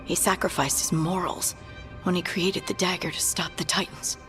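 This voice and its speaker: An actress playing a serious, matter-of-fact adventurer companion in naturalistic video game dialogue. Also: female voice, fairly low pitch, steady tone, moderate pace, fairly bright tone, faint music behind, lightly acted.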